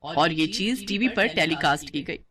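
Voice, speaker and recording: A woman's voice: low voice, animated, moderate pace, crowd noise. A woman reading a scripted story as its storyteller narrator.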